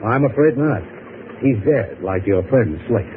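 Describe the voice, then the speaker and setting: A man's voice: mid-range voice, lively intonation, a little slow, narrow, tinny audio, lightly acted. Sardonic radio gunman with a swinging voice man acting. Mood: sardonic menace. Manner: smooth-talking, mocking, threatening. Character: menacing, sardonic gunman with mocking politeness. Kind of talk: 1950s radio drama acting. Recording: noisy, band-limited vintage radio recording.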